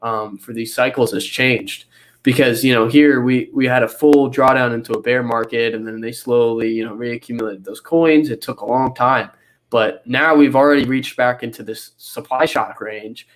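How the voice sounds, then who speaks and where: A man's voice: medium pitch, steady tone, normal speed. Young guy w a cold, sounds stuffed up and nasal, rambly with tons of ums, video call. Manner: rambling with many fillers and restarts. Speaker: guest analyst. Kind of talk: casual explanatory interview.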